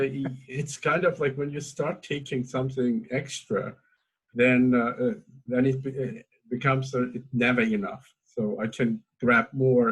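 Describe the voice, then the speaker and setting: Hesitant husky man, non-native English. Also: mid-range voice, poor audio. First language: Azeri. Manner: hesitant, many fillers and restarts. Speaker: academic host. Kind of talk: informal academic discussion.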